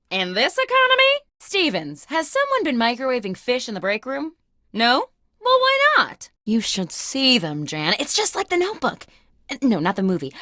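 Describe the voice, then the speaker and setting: A woman's voice: a little high, sharp tone, dry recording, studio quality, heavily acted. A woman playing a clueless, self-important office boss in a comic video game, with a bossy, theatrical delivery. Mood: breezy, oblivious. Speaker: video game character host. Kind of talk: comic scripted phone monologues.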